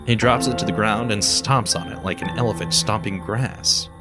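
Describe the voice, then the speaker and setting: A man narrating an audio drama in a measured storytelling manner. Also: fairly low pitch. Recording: loud music bed under voice.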